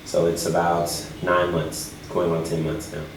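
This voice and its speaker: Interviewee: man, very low voice, measured and deliberate delivery, booming echoey room, faint hiss. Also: completely flat delivery. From United States. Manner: measured, deliberate, many "uh" fillers. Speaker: volunteer teacher. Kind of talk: interview answers.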